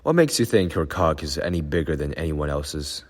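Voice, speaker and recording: A man's voice: deep voice, lively intonation, moderate pace, in a large hall. A man doing a blunt, stubborn old peasant. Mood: shouting. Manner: blunt, coarse, toneless at times.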